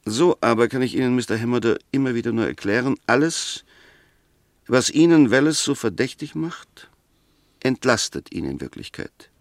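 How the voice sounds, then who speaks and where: An actor playing a shrewd, composed defence lawyer in a 1960s German radio play, arguing in a measured, precise manner. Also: male voice, medium pitch, lively intonation, no room sound, studio quality, lightly acted. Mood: firm, reasoning.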